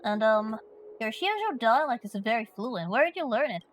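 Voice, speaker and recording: A woman's voice: fairly high pitch, big pitch swings, moderate pace, a little hiss or hum. A woman from Finland streaming a story game, chatting playfully and reading questions aloud.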